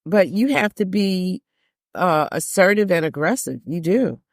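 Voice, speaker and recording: An older woman with a low, deep speaking voice talks frankly as a guest on a podcast. She drives her points home by repeating phrases. The sound is close and dry. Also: animated, unhurried, no room sound. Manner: emphatic, repeats phrases for stress. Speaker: jazz singer, guest. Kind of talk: candid podcast conversation.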